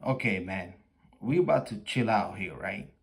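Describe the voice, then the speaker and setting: A man with a Spanish accent, hosting a livestream and chatting casually with an AI, halting and full of fillers. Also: lowish voice, expressive, creaky. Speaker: livestream host. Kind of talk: casual chat with an AI.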